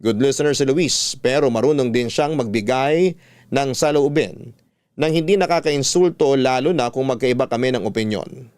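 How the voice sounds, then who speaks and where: A man's voice: mid-range voice, moderate pace, a little mellow, clear, resonant tone, no echo. A man with a Filipino accent narrating a scripted personal story as a storyteller on a close studio mic. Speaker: narrator reading a listener's letter.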